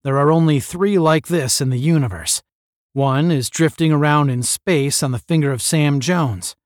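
Man voicing commanding veteran space officer, forceful, proud, raised and loud, dry close sound. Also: a little high, moderate pace, no echo, lightly acted. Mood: earnest, proud. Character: commanding male space officer, seasoned, earnest.

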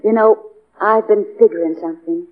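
A woman's voice: mid-range voice, moderate pace, lightly acted. A woman acting a plucky, persuasive young hostage in a 1940s radio drama, speaking in an earnest, direct way. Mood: urgent, earnest. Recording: muffled, narrow old radio broadcast.

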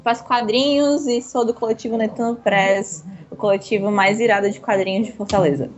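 A woman comics artist introducing herself casually on a podcast, relaxed and giggly. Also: medium pitch, a little fast, dull audio.

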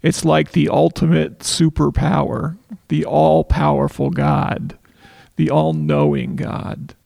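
A man with a raspy, heavily gravelly voice talks through faith topics as a podcast co-host. He sits a little high for a man and keeps restarting his sentences as he searches for words. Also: flat delivery, slow pace, warm tone. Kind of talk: casual faith discussion.

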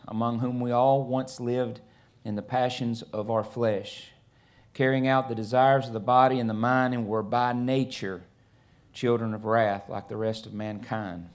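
A middle-aged man from Alabama with a Southern US accent, preaching a sermon in a conversational, halting way with many fillers. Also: lowish voice, a little mellow. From Alabama, USA. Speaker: guest preacher. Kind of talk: sermon with scripture reading.

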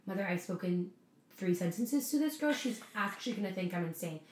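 A young woman in her early twenties talks in a relaxed, rambling way and laughs at herself as she goes. Her voice sits a little low for a woman. The room gives it a noticeable echo. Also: brisk, a little hiss or hum. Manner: giggly, rambling, self-deprecating. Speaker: podcast co-host. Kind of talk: casual chat. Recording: echoey room.

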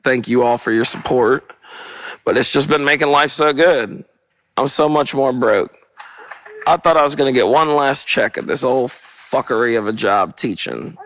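A hoarse young man hosting a casual solo podcast outdoors, rambling and cursing with spiritual asides, recorded on a portable mic rather than in a studio. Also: medium pitch, moderate pace, a bit bright, no echo, poor audio. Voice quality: rough, gravelly voice. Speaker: podcast host. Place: outdoors on a walk with dogs. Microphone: portable, not studio quality. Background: dogs playing.

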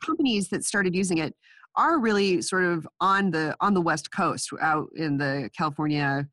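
A woman's voice: on the low side, moderate pace, a bit bright, no room sound, clean studio sound. A woman hosting a podcast interview, a little nasal from a cold, chatty and restarting her sentences. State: has a cold, a bit nasal. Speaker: podcast host. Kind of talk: casual interview.

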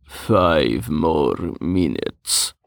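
A man's voice: fairly high pitch, expressive, takes it slowly, no room sound, clean studio sound, voice pushed up. A man putting on a whiny, lazy mage. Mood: sleepy. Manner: complaining, indignant.

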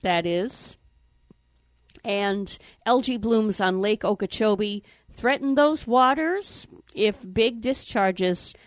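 A woman reading the morning news headlines on a radio show, in a steady, measured delivery. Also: medium pitch, no room sound. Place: radio studio.

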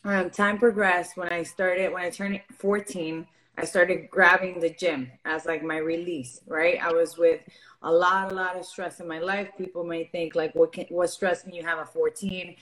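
A woman's voice: on the low side, steady tone, normal speed, a bit bright, rough recording. A young woman from Venezuela, a fitness coach and guest on a podcast, talking openly and earnestly with many fillers. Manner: open, earnest, filler-heavy. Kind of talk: casual interview chat.

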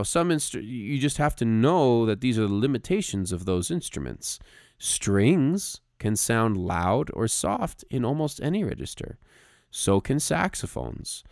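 A man's voice: mid-range voice, a little mellow, dry recording, clean studio sound. A man co-hosting a podcast, casually explaining music with emphatic, stop-start phrasing. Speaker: podcast co-host.

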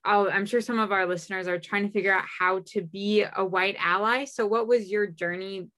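Enthusiastic young woman, halting speech. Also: mid-range voice, steady tone, bright, forceful tone. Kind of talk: casual conversation.

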